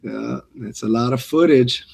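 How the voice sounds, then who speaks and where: A man's voice: medium pitch, moderate pace, vocal fry, slightly rough. A man chatting casually as co-commentator on a live fight broadcast.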